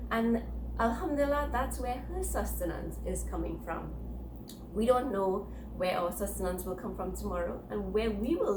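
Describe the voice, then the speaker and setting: A woman in her thirties introduces a guest, playful and animated, teasing the viewers with questions. The room echoes around her, and steady noise sits in the background. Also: medium pitch. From Trinidad and Tobago. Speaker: co-host. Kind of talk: lively show introduction. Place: living room set, indoors.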